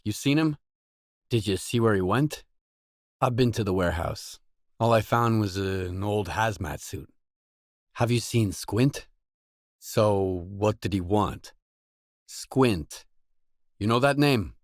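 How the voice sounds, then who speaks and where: Low slow tough guy for a game, guarded dry mercenary, blunt, super close dry recording. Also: dry recording. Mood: curious, businesslike. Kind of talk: video game voice acting, isolated dialogue lines.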